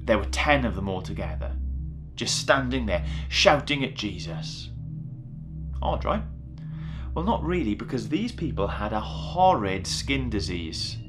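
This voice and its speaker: A man reading a children's Bible story in a chatty, playful, animated way. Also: on the low side, a little slow. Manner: chatty, playful, acting out voices. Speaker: story reader. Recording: music behind the voice. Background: music.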